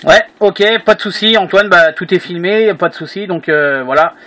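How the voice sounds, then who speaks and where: A man's voice: high voice, moderate pace, crowd noise. A man chatting casually as a radio operator, hesitant and repeating himself as he goes. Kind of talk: casual radio contact chat. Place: indoor room at home.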